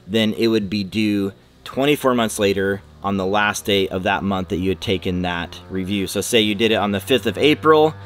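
A man in his thirties, a flight instructor, explaining rules to camera in a relaxed, conversational way from a hangar. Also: lowish voice, moderate pace, few pauses, slight background noise. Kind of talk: casual instructional talk to camera. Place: aircraft hangar, indoors. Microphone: close camera, seated at desk.

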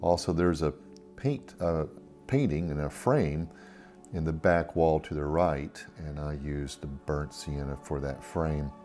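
A man's voice: rumbling low, unhurried, darkish tone, faint music behind. A man hosting a watercolor tutorial, talking through his process in an easygoing way with frequent ums and uhs. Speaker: art tutorial host. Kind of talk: relaxed step-by-step painting demonstration. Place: at a table, painting from overhead camera view.

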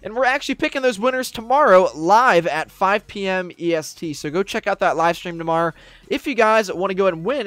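Man, high-pitched, gaming youtuber, roleplay commentary, energetic shouting, soft background music. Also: no room sound. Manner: upbeat, shouts commands in roleplay.

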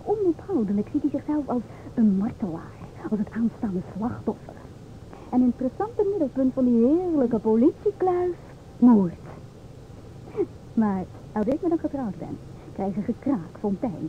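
Actress as bossy know-it-all stewardess, highish voice, old radio drama, very narrow phone-like sound. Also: female voice, animated, normal speed, natural voice. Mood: combative. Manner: emphatic, self-assured, theatrical. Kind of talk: old-time Dutch radio play acting. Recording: old radio recording, narrow tinny band, hiss.

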